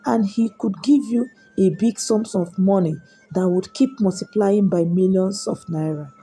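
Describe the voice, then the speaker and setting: A woman voicing a persuasive, struggling young husband in a storybook tale, slowly and coaxingly. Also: medium pitch, warm tone, husky. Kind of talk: storybook character dialogue. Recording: music behind the voice.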